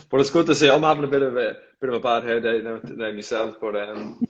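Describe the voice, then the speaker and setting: A man's voice: medium pitch, fairly even intonation, brisk, highs cut off. A man with an Irish accent hosting a casual live online chat, talking in a chatty, rambling way with trailing repeats. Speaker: host.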